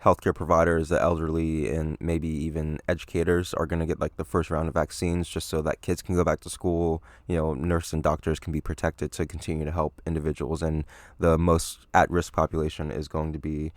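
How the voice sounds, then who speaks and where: A young man hosting a solo podcast, talking casually with a slightly raspy voice, hedging and filling pauses with "you know" and "um". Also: extremely low pitch, flat delivery, dry recording. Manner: rambling, hedging, many fillers. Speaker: podcast host.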